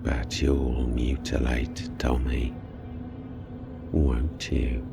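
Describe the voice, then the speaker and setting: A man playing a demonic presence inside a condemned boy murderer in an audio horror drama, taunting and contemptuous with a gravelly, menacing delivery. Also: flat delivery, music in the background, heavily acted. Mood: accusing, contemptuous. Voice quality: very deep, gravelly. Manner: slow, deliberate, taunting, menacing.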